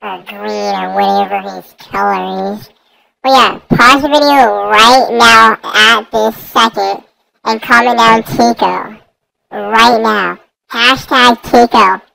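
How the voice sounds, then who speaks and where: A gaming YouTuber heard through a voice changer, giving casual, slangy and repetitive gameplay commentary in a gravelly voice. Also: medium pitch, fairly even intonation, takes it slowly, bright, forceful tone, dry recording, low-quality recording. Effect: voice changer raising the voice.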